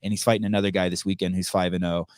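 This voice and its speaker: Low-voiced man rambling on a podcast. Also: level tone, rapid-fire, clear, resonant tone, dry recording, studio quality. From Armenia. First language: Armenian. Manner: rambling, frequent restarts and profanity. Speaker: podcast host. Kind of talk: casual podcast conversation. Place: home in California.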